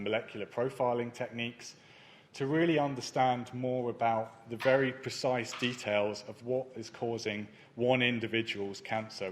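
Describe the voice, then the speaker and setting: A man presenting his research at a conference, speaking in a measured way with frequent ums and uhs. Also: mid-range voice. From not Germany. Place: conference hall.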